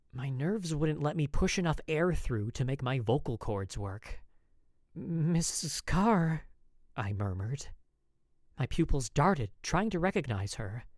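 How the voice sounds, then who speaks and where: A voice actor narrating a first-person story like a journal, expressive and in character. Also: medium pitch, no room sound, studio quality.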